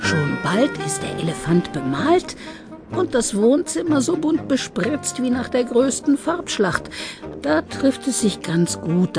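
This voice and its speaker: A woman narrating a scripted children's story as an expressive audiobook storyteller. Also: medium pitch.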